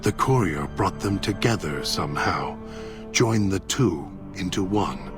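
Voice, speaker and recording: An actor narrating a game epilogue as a brooding, solemn storyteller with a weighty, deliberate delivery. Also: male voice, low-pitched, animated. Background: music.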